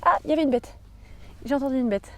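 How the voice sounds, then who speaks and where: A woman's voice: high-pitched, fast talker, fairly bright tone, a little hiss or hum. A young woman chatting casually in a book vlog, rambling with many fillers. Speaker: book YouTuber.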